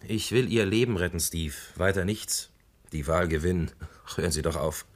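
A man's voice: low-pitched, moderate pace. An actor playing a worried, reproachful male campaign adviser in a radio play. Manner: urgent, reproachful.

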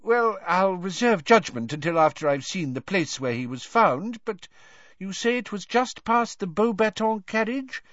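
Guy playing a pompous fussy older politician, high blustery voice, swoops around, audiobook. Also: very animated, measured pace, fairly bright tone, dry recording, muffled recording, exaggerated voice. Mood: flustered. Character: fussy, blustering, impatient older male government minister. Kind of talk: audiobook character acting.

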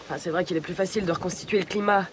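An actress playing a wistful, encouraging polar researcher in a radio drama, speaking in reflective, short phrases. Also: female voice, fairly low pitch, fairly even intonation, racing, slight background noise, close to an everyday voice. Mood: patient, encouraging. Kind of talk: naturalistic French radio drama acting.

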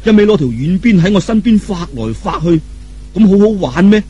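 A man's voice: sits high, animated, brisk, dark tone, breathy edge, highs cut off, pitched above the performer's own voice. A man voicing a naive, good-hearted young martial hero in a Cantonese audiobook, musing aloud guilelessly. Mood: puzzled.

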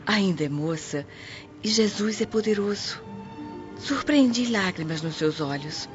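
An actress playing a kindly, pious, humble Roman washerwoman in a radio drama, gentle and motherly. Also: mid-range voice, expressive, a little slow, lightly acted. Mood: tender, consoling. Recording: dull lo-fi audio with music behind.

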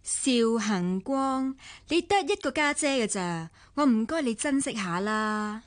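A scornful young gossip. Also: female voice, a little high, expressive, measured pace, no room sound. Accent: Hong Kong Cantonese. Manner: scornful, lecturing, sarcastic. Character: sharp-tongued young woman, scornful of a rival. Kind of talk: 1990s radio drama acting.

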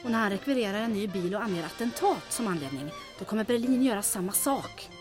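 A woman playing a brisk, decisive schemer who takes charge in a radio drama, speaking in a businesslike way. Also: medium pitch, lively intonation, music in the background, close to an everyday voice. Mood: calculating.

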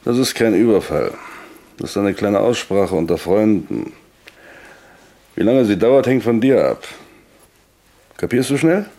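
An actor playing a menacing, falsely polite gangster in a 1960s German radio play, mocking and threatening. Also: male voice, fairly low pitch, expressive, a little slow, long pauses, warm tone. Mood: cold menace. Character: menacing, sneering male gangster intimidating a shopkeeper.

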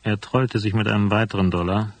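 A hard-boiled detective in a noir radio play. His voice sits low and is husky with fry. He speaks drily and sparingly, always probing. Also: steady tone, brisk. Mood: dry, reflective. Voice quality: gravelly, husky. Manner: dry, laconic. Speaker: first-person narrator and lead character. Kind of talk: noir radio drama acting and narration.

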